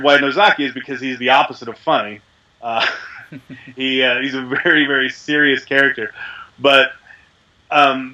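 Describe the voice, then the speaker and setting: A man who is a voice actor, talking casually in an interview with a deep, resonant voice while a little sick, rambling with many fillers. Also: pushed and bright, dull audio. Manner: chatty, rambling, self-deprecating with frequent fillers. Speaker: voice actor guest.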